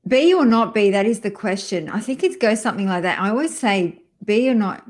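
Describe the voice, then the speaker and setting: A woman's voice: mid-range voice, animated, fairly quick. Middle-aged woman guest, upbeat conversational interviewee. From France. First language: French. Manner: upbeat, chatty, with restarts. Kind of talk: casual interview chat.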